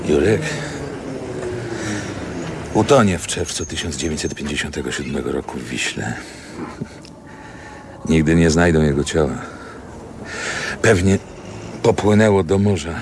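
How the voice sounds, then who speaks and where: An older male actor playing a reflective poet recalling his youth in a radio drama, speaking in a measured literary manner. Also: extremely low pitch, expressive, a little mellow, slight background noise, exaggerated voice. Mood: calm, wistful. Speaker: narrator and protagonist.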